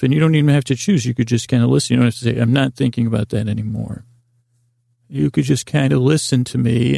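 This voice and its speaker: A man with a mellow, deeply creaky voice rambles through a bedtime podcast monologue. His delivery stays on a flat, narrow pitch while his sentences tangle and circle back with goofy wordplay. It is the talk of a host helping listeners drift off to sleep. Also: no echo, clean studio sound. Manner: meandering, tangled sentences that trail and double back.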